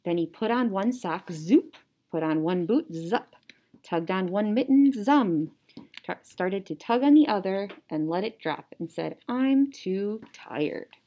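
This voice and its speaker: Young lady teacher doing storytime, super animated, slow pace, soft mellow tone. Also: mid-range voice. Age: young adult. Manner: animated, with playful sound words. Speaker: teacher reading aloud. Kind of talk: children's story read-aloud. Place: small indoor classroom or office.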